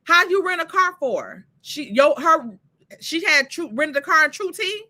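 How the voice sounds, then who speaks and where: Bright, sassy woman host. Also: very high voice, animated, unhurried. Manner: sassy, exasperated, laughs often. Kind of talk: casual live commentary on reality drama.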